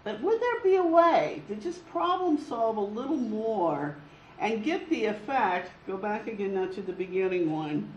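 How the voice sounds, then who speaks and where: A teacher talks through a critique of a student's work, thinking aloud and halting along the way. The voice sits at a middle pitch. It echoes around the room, with faint noise behind it. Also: expressive, lo-fi sound. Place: classroom.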